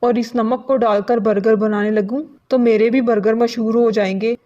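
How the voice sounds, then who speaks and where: A woman's voice: a little high, fairly even intonation, moderate pace, dark tone, natural voice. A woman voicing an envious, scheming male pigeon in a cartoon, exclaiming and repeating phrases. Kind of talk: cartoon story character acting. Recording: dull lo-fi sound.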